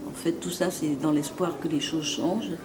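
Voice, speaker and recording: A woman who works as a fashion designer explains her project in a measured way, pausing with fillers. Her voice is a little low. Steady noise runs behind her. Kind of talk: testimonial interview.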